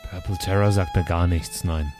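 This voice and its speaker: A man improvising casually as a player in a pen-and-paper role-playing show, joking in an animated way. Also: low voice, moderate pace, over music. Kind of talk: improvised casual table talk in character.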